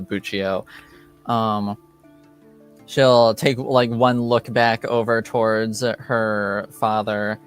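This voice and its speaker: A man running a tabletop roleplaying game as game master, narrating scenes in a halting way with many fillers. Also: on the low side.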